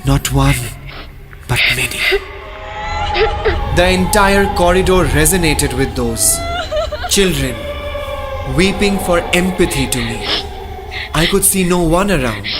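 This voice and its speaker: A man's voice: fairly high pitch, animated, music in the background, poor audio. An actor playing a shaken married male traveler who narrates a horror radio play in a theatrical, literary manner. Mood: haunted, intense. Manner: theatrical, literary, deliberate phrasing.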